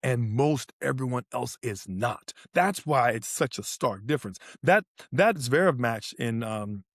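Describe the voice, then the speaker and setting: A man's voice: mid-range voice, animated, measured pace, a bit bright, dry recording, studio quality. A man co-hosting a sports podcast, breaking down play in casual talk and repeating phrases for emphasis. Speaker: podcast co-host analysing tennis.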